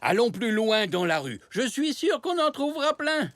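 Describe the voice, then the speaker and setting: Cartoon zebra grandfather: elderly, kindly and playful, hugely animated melody, gently encouraging. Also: very high-pitched, moderate pace, music in the background, heavily acted. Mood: wondering. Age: older character. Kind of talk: French children's cartoon dialogue.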